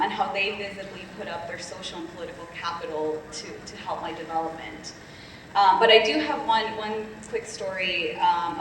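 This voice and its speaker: A woman's voice: on the low side, a little hiss or hum. A woman panelist in an echoey hall. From Colombia. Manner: reflective, candid, frequent ums. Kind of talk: panel discussion, personal story. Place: conference stage. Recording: echoey hall, distant mic.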